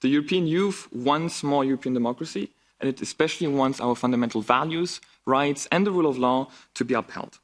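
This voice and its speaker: A man's voice: mid-range voice, brisk, hardly any pauses. Young man, twenties, Bulgarian native speaker, formal earnest address, emphatic delivery. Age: 20s. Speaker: youth delegate. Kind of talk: formal speech. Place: parliament chamber.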